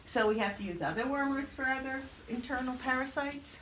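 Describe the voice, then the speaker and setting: A middle-aged woman, a farmer, explaining animal care and cooing softly to the animals, recorded by a phone a few feet away in a barn. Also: sits high, brisk, a little hiss or hum, poor audio. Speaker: alpaca farmer. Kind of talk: casual explanation. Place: cold wooden barn indoors. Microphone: phone camera a few feet away.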